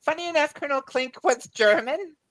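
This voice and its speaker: A woman's voice: sits high, moderate pace, a bit bright, no room sound. A woman from Mississippi with a southern Mississippi accent, chatting casually as a podcast co-host. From Mississippi, United States. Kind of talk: casual chat.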